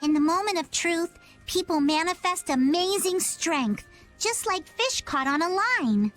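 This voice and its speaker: Quaint, solemn youngster, anime game: high pitch, theatrical turns of phrase, music bed. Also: female voice, lively intonation, unhurried, fairly bright tone, exaggerated voice. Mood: philosophical. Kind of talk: English anime game dub acting.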